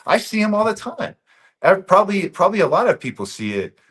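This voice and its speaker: A man's voice: sits high, sing-song, fairly quick, a bit bright. Earnest man, repeating his points. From Bosnia. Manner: earnest and emphatic, repeats key phrases. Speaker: podcast guest. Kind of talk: casual podcast conversation.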